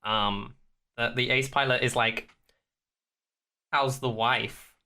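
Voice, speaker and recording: Bright-voiced man, podcast co-host. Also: mid-range voice, fairly even intonation, takes it slowly, gravelly. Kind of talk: casual chat, giving reactions to a TV show.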